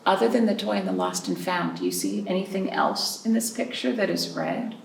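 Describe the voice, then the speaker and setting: A woman hosting a storytime for young children, reading expressively with drawn-out phrases and pausing to put questions to her listeners. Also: medium pitch, creaky. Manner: prompting listeners with questions and pauses. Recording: roomy, distant mic, faint noise.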